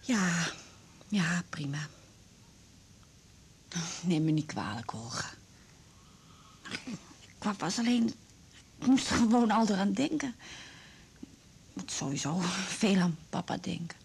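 An actress playing a worried older mother in a Dutch radio drama, blunt yet apologetic, with a breathy, halting, naturalistic delivery. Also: lowish voice, big pitch swings, a little mellow, a little hiss or hum, full character voice. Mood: apologetic, subdued. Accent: Dutch (Netherlands). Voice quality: breathy, husky.

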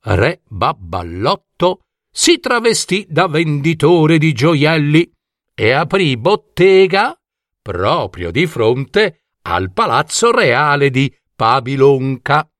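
A man's voice: on the high side, sing-song, slow, fairly bright tone, no echo, clean studio sound. A man narrating a scripted fairy tale for children as a storyteller.

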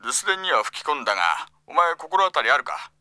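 An actor playing a curt, authoritative middle-aged department manager in a Japanese audio drama, all business. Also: male voice, fairly high pitch, lively intonation, quick pace, bright, forceful tone, a little hiss or hum. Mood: brusque, businesslike.

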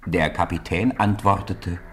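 Very deep-voiced male radio storyteller. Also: gravelly, slight background noise. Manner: measured, expressive storyteller. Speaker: narrator. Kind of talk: scripted storytelling, 1950s radio play.

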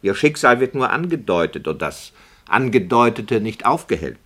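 A man's voice: medium pitch, sing-song, moderate pace, fairly bright tone. A man playing a skeptical radio play director, formal, critical and precise, in scripted 1960s radio dialogue.

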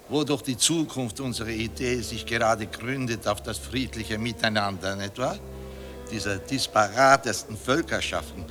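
A slow, pompous monarchist gentleman. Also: mid-range voice. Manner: pompous, halting, declamatory, effusive. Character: pompous, nostalgic Austrian monarchist gentleman, effusive admirer. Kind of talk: German radio play acting.

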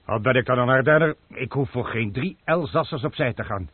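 An actor playing a loyal manservant from the Ardennes in an old radio drama, speaking deferentially and protesting earnestly. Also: male voice, mid-range voice, fairly quick, slightly rough, no echo, lightly acted. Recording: tinny old radio play with faint hiss.

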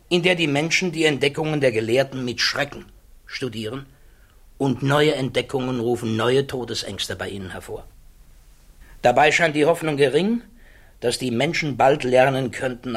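Grave, measured male physicist, played by an actor. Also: medium pitch, lightly acted. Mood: somber, urgent. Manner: measured, formal, deliberate rhetorical delivery. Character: grave, reflective male physicist defending his conscience. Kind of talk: radio play monologue, formal stage German.

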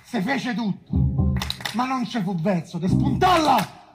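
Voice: medium pitch, very animated, takes it slowly. A performer playing a fervent Roman commoner recounting a bloody siege, emphatic and rhythmic, with a Roman accent, over guitar music. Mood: mournful, urgent. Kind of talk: dramatic stage storytelling over live music. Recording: live guitar music under the voice.

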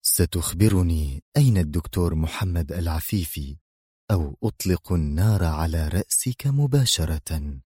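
An arrogant villain spy, acted by a male narrator in an audio adventure. He sounds haughty, stern and menacing, pitched down low with a quieter delivery. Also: fairly even intonation, fairly quick, breathy edge, no echo, studio quality. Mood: stern threat.

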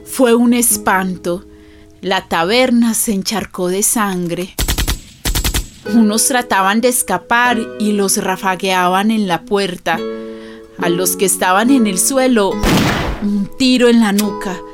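An actress playing a sorrowful witness, narrating a radio drama in a grave, testimonial way. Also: female voice, medium pitch, takes it slowly, music in the background, natural voice. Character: sorrowful female witness recounting a massacre.

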